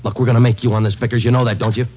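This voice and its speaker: An actor playing a terse, dogged police detective in an old radio drama, with clipped, businesslike, insistent delivery. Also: male voice, mid-range voice, flat delivery, very fast, natural voice. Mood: hard, stern. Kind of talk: 1940s-50s radio drama acting. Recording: muffled, noisy vintage radio recording.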